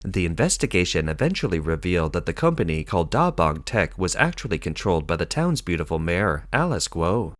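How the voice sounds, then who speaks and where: Male narrator, low and animated, dry studio read for a news explainer. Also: fairly quick, few pauses, dry recording. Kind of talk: scripted documentary narration.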